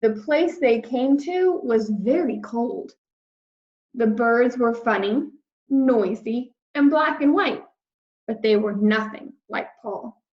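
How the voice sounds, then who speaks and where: A woman with a fairly high voice reads a children's story in a roomy, echoey space. She takes her time and explains things simply for little listeners. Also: lively intonation, darkish tone. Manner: slow, simple explaining addressed to young listeners. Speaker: read-aloud storyteller, teacher of young children. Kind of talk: expressive children's picture book read-aloud with asides. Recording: roomy echo, slightly dull sound.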